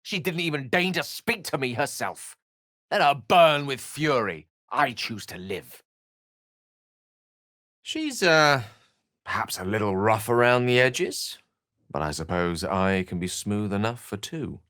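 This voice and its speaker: A man's voice: mid-range voice, expressive, unhurried, fairly bright tone, no room sound, clean studio sound. An actor playing an erudite, witty and self-assured wizard in a video game, eloquent and theatrical in his wordy delivery. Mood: intense, determined, then wry, teasing. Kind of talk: video game character acting.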